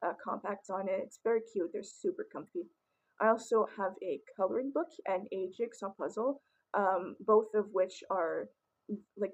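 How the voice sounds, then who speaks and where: Young woman, raspy voice, rambling casual chat, lots of ums, mid-pitched. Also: a little mellow, rough recording. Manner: chatty, rambling, many ums and restarts. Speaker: vlogger. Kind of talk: casual collection show-and-tell.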